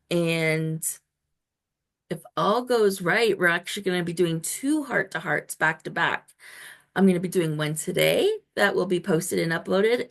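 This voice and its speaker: A woman's voice: on the low side, vocal fry. Effusive woman podcast host in her fifties. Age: 50s. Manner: enthusiastic, effusive, informal. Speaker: show host and health coach. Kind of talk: chatty podcast interview hosting.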